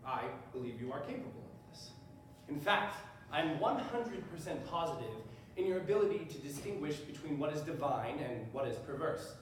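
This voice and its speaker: Man acting animated, echoey defense attorney. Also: a little high, lightly acted. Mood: earnest, persuasive. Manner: oratorical, deliberate emphasis and repetition. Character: earnest, persuasive defense attorney addressing a jury. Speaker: stage actor. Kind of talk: readers theater courtroom speech. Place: large echoey room, live stage performance. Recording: far off, very echoey hall, faint noise.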